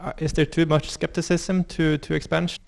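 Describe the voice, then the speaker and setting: A man's voice: a little high, flat delivery, brisk, vocal fry, a little mellow. A young man hosting a group discussion, putting questions to participants and inviting them to speak. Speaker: talk show host. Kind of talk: moderating a discussion. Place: medium indoor room with seated audience.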